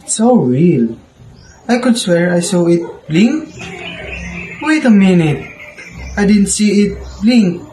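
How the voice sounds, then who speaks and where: A man playing an excitable, blustering business boss in staged tall-tale theater. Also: high-pitched, slow pace, long pauses. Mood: amazed. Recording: echoey room, faint background noise.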